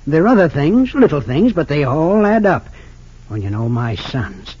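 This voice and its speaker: An actor playing a wealthy, suspicious father of sixty-eight in an old radio drama, earnest and slightly halting. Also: on the high side, sing-song, darkish tone, husky. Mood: bitter, suspicious. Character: wealthy, suspicious 68-year-old father, bitter about his sons. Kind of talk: 1940s-50s radio drama acting. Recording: dull lo-fi old radio recording.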